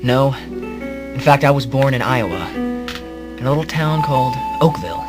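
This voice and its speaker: Man as a halting, hapless hero over music. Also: mid-range voice, animated, natural voice. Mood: wistful, melodramatic. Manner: halting, melodramatic, rambling. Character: earnest, hapless grown male hero telling his story. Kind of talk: comedic radio drama narration.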